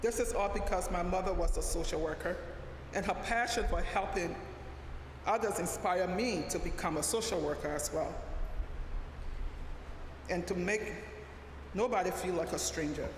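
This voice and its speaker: Woman reading a sermon, echoing hall. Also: fairly low pitch. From Liberia. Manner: measured, deliberate reading with occasional restarts. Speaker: lay preacher, social worker. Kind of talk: sermon read from a prepared text. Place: church sanctuary. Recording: echoey church hall, faint background hum.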